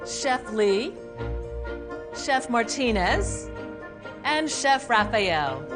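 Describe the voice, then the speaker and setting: An actress playing a gracious host of a cooking competition, announcing in a formal, measured way. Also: female voice, on the high side, big pitch swings, heavily acted. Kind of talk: film dialogue. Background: loud background music.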